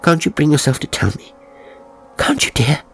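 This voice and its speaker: A man voicing an anxious, pleading young English woman in an audiobook, breathy, earnest and insistent. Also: on the high side, very animated, moderate pace, soft background music, voice pushed up, heavily acted. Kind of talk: audiobook character acting.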